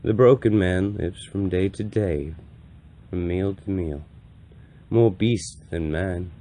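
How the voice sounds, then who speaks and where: Man acting as old wandering priest, low mellow voice, gravelly, solemn, mournful, compassionate, storybook monologue. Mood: mournful, intense. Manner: slow, deliberate, solemn, with dramatic swells. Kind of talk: dramatic audiobook-style monologue reading.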